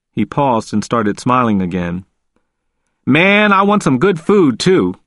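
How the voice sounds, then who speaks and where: A man's voice: fairly high pitch, dry recording, studio quality, voice pushed up. A man doing a teasing country prisoner. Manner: slow, warm, joking, drawn-out phrasing. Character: cheerful, teasing male prisoner with a country drawl.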